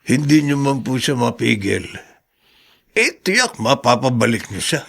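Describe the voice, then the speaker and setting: A man's voice: mid-range voice, sing-song, measured pace, vocal fry, fairly bright tone, husky, heavily acted. A man playing a loyal, deferential driver in a Filipino radio drama, respectful and hesitant, with a Filipino accent. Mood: encouraging. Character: loyal, deferential driver consoling his boss.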